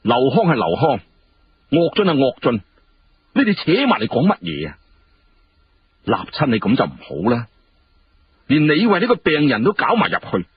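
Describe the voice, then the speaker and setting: A man voicing an imperious, sharp-tongued emperor with an emphatic, questioning delivery in historical storytelling. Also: mid-range voice, wildly swooping pitch, normal speed, long pauses, full character voice. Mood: indignant, challenging. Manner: indignant rhetorical questioning, emphatic. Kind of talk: Cantonese historical storytelling dialogue. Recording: muffled, clearly noisy radio-like recording.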